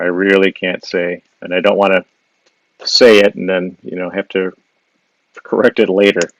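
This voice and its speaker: A man's voice: fairly low pitch, no room sound, rough recording. A man from Wisconsin with a Wisconsin accent, an illustrator thinking aloud as he draws and answers viewer questions on a live stream. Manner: thinking aloud while sketching, with frequent fillers and restarts. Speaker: illustrator giving a live drawing demo. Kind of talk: casual live-stream drawing demo, reading viewer questions. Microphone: device mic on a live social video stream.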